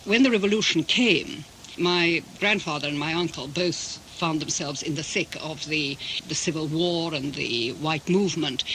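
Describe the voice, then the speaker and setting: A woman's voice: fairly low pitch, bright, forceful tone, rough recording. Articulate older woman, faint hiss. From Britain. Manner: measured, articulate, with careful restarts. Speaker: interviewee, interpreter. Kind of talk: reflective radio interview storytelling.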